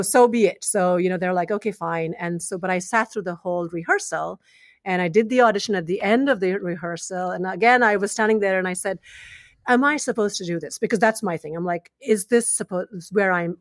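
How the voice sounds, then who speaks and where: A middle-aged woman chatting as a podcast guest in a relaxed, meandering way. She restarts sentences often and lets her voice drop quietly at the ends of thoughts. The recording is dry and close, with no room sound. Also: lowish voice, fairly quick, no room sound. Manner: rambling, many restarts and fillers, trails off quietly. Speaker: podcast guest, engineering leader. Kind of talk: casual conversational interview.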